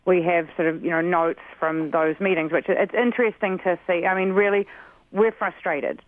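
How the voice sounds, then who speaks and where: A man's voice: high voice, fairly even intonation, normal speed, no room sound. New Zealand man on a tinny phone line. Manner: earnest, frustrated, advocating. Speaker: community group spokesperson on a radio call. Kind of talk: earnest phone-in interview.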